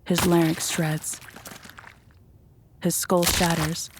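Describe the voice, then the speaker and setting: Low, even-toned woman narrating horror. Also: slow pace, background noise. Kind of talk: dramatic scripted narration of a horror audio drama.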